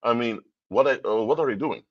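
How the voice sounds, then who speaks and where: A man's voice: mid-range voice, fairly quick, muffled recording. A man from Curaçao, giving a livestream talk in a lecturing tone full of rhetorical questions. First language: Papiamento. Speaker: commentator. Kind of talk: livestream commentary.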